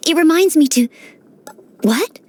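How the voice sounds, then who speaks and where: A woman's voice: high voice, expressive, measured pace, a little mellow. A woman voicing an earnest, eager-to-please teenage maid knight in a video game, speaking politely and formally. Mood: sincere, confiding. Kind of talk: video game character voice lines. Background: background music.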